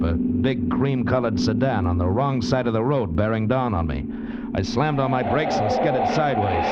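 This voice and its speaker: A man's voice: on the low side, close to an everyday voice. A man playing a slangy, cynical drifter who narrates his own story in 1940s radio noir style. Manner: hard-boiled, slangy, casual. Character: handsome drifter, failed movie actor, wary cynical noir narrator. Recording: muffled vintage radio recording, loud music.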